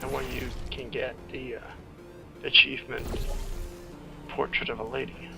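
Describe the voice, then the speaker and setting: A man with a creaky, gravelly voice of middling pitch rambles casually through a game playthrough. He halts and drifts between thoughts while game music plays clearly behind him. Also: little pitch movement. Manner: rambling, halting, trails off mid-thought. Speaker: gameplay commentator, screenwriter. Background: video game music.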